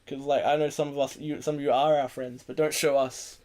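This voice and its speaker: A young man hosts a casual gaming podcast, sounding tired. He rambles and jokes, swears freely, and speaks in a mid-pitched voice. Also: flat delivery, brisk, darkish tone. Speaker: podcast host. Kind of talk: casual chat about video games.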